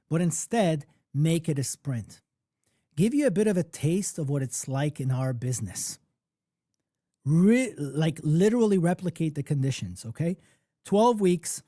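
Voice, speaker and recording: A man who grew up in Poland, hosting a live music-business workshop in a conversational, animated storytelling manner, often checking in with "okay?" and "right?". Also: fairly high pitch, no echo, clean studio sound.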